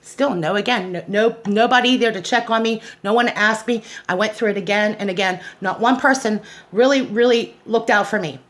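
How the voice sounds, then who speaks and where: A woman's voice: medium pitch, normal speed, hardly any pauses. A middle-aged American woman giving an unscripted personal monologue, speaking in an aggrieved, emphatic way and repeating phrases for stress. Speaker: host of a personal awareness show.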